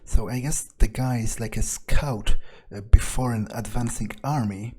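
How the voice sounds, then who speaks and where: A man with a slightly husky voice, narrating a game playthrough in character as a wary vampire, muttering his thoughts hesitantly. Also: medium pitch, measured pace, warm tone. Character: vampire agent, profane and wary, thinking aloud. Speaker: let's player speaking in character.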